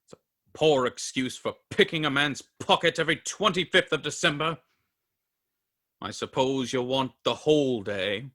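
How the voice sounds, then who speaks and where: A man's voice: medium pitch, normal speed, fairly bright tone, natural voice. A man playing a curt, grumbling old miser. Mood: grumpy. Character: grumpy, stingy old male employer. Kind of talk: radio play acting.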